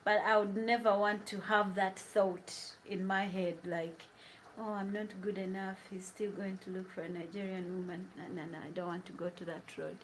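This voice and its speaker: A woman's voice: mid-range voice, little pitch movement, moderate pace, slight background noise, poor audio. A young woman with a Ugandan accent, chatting casually and laughing often as she cooks at home and reads out viewers' questions. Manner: chatty, laughs often, drifts between English and Luganda. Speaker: vlogger. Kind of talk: casual chat while cooking, answering live comments. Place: home kitchen.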